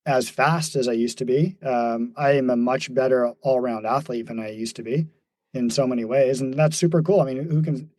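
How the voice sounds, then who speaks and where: Casting: man in his 40s, warm mellow tone, dry close sound, thoughtful and halting. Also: medium pitch, steady tone, no room sound, poor audio. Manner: thoughtful, many fillers and restarts, laughs easily. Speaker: podcast guest, mountain runner. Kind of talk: reflective casual conversation.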